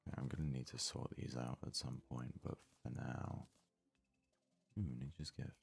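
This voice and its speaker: A man giving soft-spoken ASMR game commentary in an unhurried, hesitant way, as if thinking aloud. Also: very deep voice, level tone, creaky, slightly rough, soft background music. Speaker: gaming video host.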